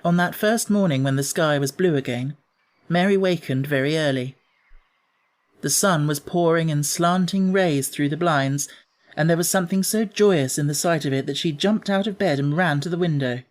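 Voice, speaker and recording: A man's voice: on the high side, normal speed. A man reads as an audiobook narrator. His delivery is scripted storybook narration, telling a children's tale.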